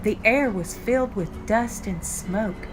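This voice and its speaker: An older woman reading a children's picture book aloud, with deliberate, expressive phrasing for young listeners. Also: medium pitch, poor audio. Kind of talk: read-aloud storytime narration. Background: background music.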